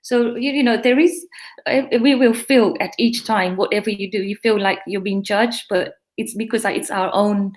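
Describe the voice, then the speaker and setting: A woman's voice: medium pitch, steady tone, moderate pace, darkish tone. Earnest woman, mixed East African-English accent. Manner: earnest, reflective, encouraging. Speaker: guest, pharmacy owner. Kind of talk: casual interview chat.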